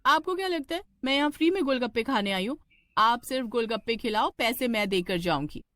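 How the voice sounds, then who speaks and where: A woman's voice: a little high, lively intonation, brisk, fairly bright tone, no echo, pitched above the performer's own voice. A woman voicing a scheming, demanding village woman with a dramatic, indignant manner in a cartoon story. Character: scheming, shrill village woman falsely accusing a snack seller.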